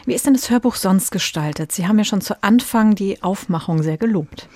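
A woman's voice: mid-range voice, brisk, mellow, dry recording. A woman radio presenter asking the critic brief, inquiring questions. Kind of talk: interview questions.

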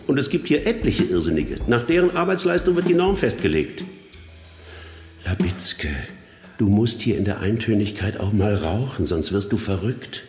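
An actor playing a stern prison authority in a theatrical radio play, intoning in a measured, declamatory way. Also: male voice, lowish voice, very animated, a little mellow, faint music behind. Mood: matter-of-fact warning. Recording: echoey hall with long reverb.

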